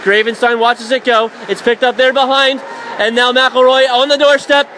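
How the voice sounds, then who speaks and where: Male hockey play-by-play announcer, high voice, faint noise behind, rising to shouts on big plays. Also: level tone. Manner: rapid, rising to shouts on shots and saves.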